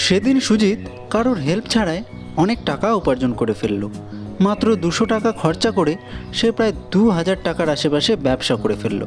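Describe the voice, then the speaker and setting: Animated male storyteller with lively pitch. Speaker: story narrator. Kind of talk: scripted cartoon storytelling. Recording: music under the voice.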